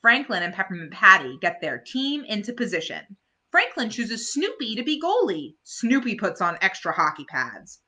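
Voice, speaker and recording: A woman reading a children's bedtime story aloud expressively, pausing to chat to her young listeners. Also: medium pitch, sing-song, pushed and bright.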